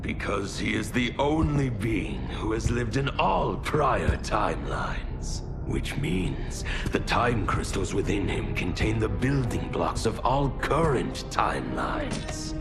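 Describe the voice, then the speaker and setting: An actor playing a gloating, grandiose mad villain in a video game cutscene, speaking in a deliberate, theatrical way. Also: male voice, on the low side, big pitch swings, over music, full character voice. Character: gloating mad villain, male chaos titan from another timeline.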